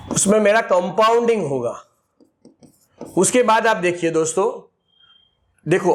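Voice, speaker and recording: High-voiced man explaining money plans. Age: 40s. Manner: addresses viewers as friends, works through figures step by step. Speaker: financial advisor. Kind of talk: explaining an insurance plan to viewers.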